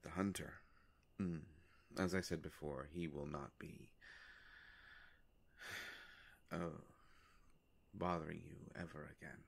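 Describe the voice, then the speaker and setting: A man playing a courteous, ancient vampire in a roleplay audio drama, speaking in a halting, formal, soft-spoken way. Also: rumbling low, steady tone, vocal fry, breathy edge, full character voice. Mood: gently reassuring. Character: courteous, ancient male vampire, gentle and hesitant.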